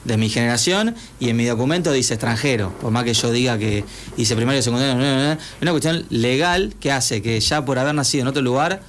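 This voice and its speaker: A man from Uruguay, a guest psychologist in a radio studio, explains things at an ordinary pitch. He works patiently through his points and often restarts mid-phrase. A faint hiss sits under his voice. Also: quick pace.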